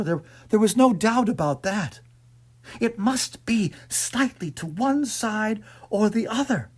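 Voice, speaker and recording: A man narrating a ghost story in an intense, theatrical way, swinging between hushed and shouted delivery. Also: high voice, slightly rough. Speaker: audiobook narrator.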